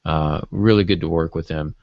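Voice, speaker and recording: A man's voice: very deep voice, fairly even intonation, moderate pace, no echo. A man chatting casually as a podcast co-host, with a wry, joking manner. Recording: dull, muffled lo-fi audio.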